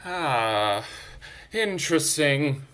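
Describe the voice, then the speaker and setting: A storybook church mouse, played by a man, pompous and nervous, in a bright voice. He keeps up a trembly show of casual, gentlemanly politeness. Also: a little high, very animated, extremely slow, exaggerated voice. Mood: nervously casual.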